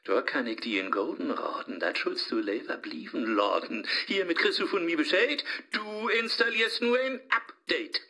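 An actor playing a pushy, officious voice assistant with devilish cheek in a rhymed radio play, speaking with a Low German accent. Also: male voice, expressive, normal speed, hardly any pauses, sharp tone, full character voice. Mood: smug, threatening. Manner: overeager, officious, commanding.

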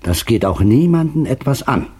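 A man's voice: mid-range voice, very animated, moderate pace, a little mellow, breathy edge. An actor playing an evasive, irritable businessman in a German radio play, brusque and defensive in manner. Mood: troubled, probing.

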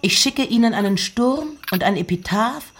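A woman giving a literary reading of poems and letters with measured, deliberate phrasing. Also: a little high, animated, a little hiss or hum.

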